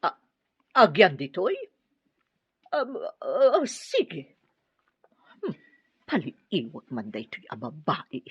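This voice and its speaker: A woman's voice: on the low side, wildly swooping pitch, takes it slowly, pauses often, a bit bright, slightly rough, no echo, highs cut off, heavily acted. An actress playing a strict, suspicious mother of a grown son in a radio drama, haughty and scolding. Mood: cold, suspicious.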